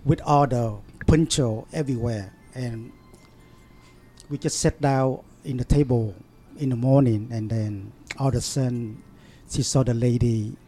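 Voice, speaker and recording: A man in an interview, telling a story in halting, broken English with many fillers and restarts. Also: medium pitch, fairly even intonation, mellow, faint music behind. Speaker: interview guest. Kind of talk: casual storytelling.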